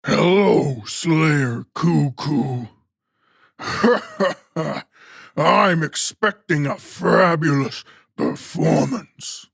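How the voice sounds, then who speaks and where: A man's voice: on the high side, extremely slow, creaky, a bit bright, no echo, clean studio sound, exaggerated voice. A man voicing a sly, invisible ghost in an audiobook, drawling with sinister glee. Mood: gleefully sinister. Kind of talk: audiobook character acting.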